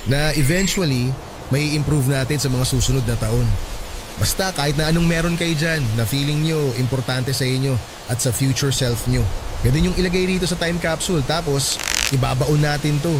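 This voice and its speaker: A man playing a sincere young male friend who explains a plan calmly but gets a bit defensive when teased. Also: mid-range voice, noisy background, close to an everyday voice.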